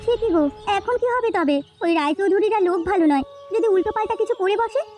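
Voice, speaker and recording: A woman plays a kindly, concerned village housewife in a cartoon folktale. Her voice is extremely high and quick, with earnest, expressive delivery. Light music runs underneath. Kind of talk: Bengali cartoon folktale voice acting.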